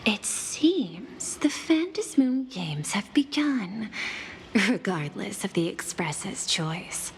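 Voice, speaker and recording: An actress playing a poised, calculating corporate envoy in a video game, speaking formally and deliberately. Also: female voice, medium pitch, very animated, slight background noise. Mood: calm, assured. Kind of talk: scripted video game dialogue. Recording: long reverb, clear music underneath.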